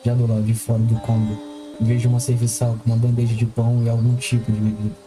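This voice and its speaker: An actor playing a wary, solemn, courteous outsider samurai, narrating formally in the first person in an audio drama, with a Brazilian accent. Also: male voice, mid-range voice, monotone, fast talker, music in the background, close to an everyday voice. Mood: wary, reflective. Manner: formal, restrained.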